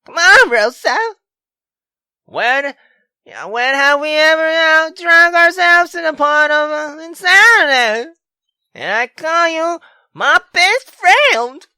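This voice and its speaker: A high, sharp-voiced drunk young woman from a visual novel, acted out by a woman. She rambles in slang, halting and bossy yet fond of her friend. The sound is close and dry. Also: lively intonation, dry recording, full character voice. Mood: tipsy, coaxing.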